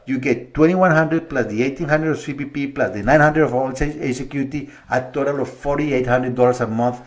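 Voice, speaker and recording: A man's voice: mid-range voice, fairly quick. Guy, middle-aged, mortgage broker walking thru the numbers, chatty and easygoing, mid-range voice. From Colombia. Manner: conversational, walks through numbers step by step, slight restarts. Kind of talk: explanatory video monologue to viewers.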